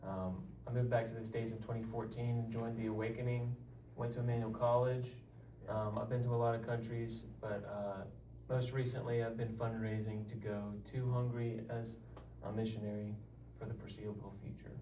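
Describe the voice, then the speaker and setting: A man who grew up in Wales, introducing himself in a calm, matter-of-fact way. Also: medium pitch, normal speed. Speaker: missionary panel guest. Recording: echoey room, mic far off, faint noise.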